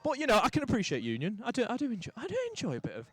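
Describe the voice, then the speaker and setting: A young man from St Helens, giving lively live rugby commentary with chatty asides. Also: very high-pitched, racing, husky. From St Helens, England. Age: young adult.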